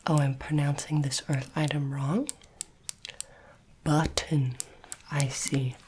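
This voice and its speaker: A woman playing a polite, curious alien interviewer in an ASMR roleplay, speaking formally and deliberately in a soft, breathy whisper. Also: low-pitched, slight background noise. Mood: politely puzzled. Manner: slow, deliberate, formal phrasing.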